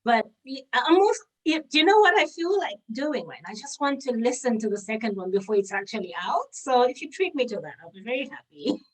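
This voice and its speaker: A woman's voice: on the high side, sing-song, fairly quick, few pauses. Distant-miked woman hosting, enthusiastic and affirming. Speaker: show host. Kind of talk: conversational interview hosting.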